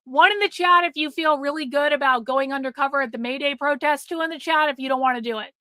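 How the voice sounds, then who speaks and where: A woman's voice: high voice, fast, bright, forceful tone, dry recording, clean studio sound. A middle-aged woman hosting a livestream, talking bluntly to her chat, swearing freely and repeating herself for emphasis while losing her voice. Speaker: livestream host. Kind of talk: casual livestream talk to chat.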